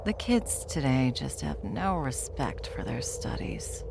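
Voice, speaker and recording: A woman playing a smug, world-weary veteran witch in a fantasy audio roleplay, dry, condescending and unhurried. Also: very deep voice, very animated, gravelly, noisy background, full character voice. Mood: cool, lecturing.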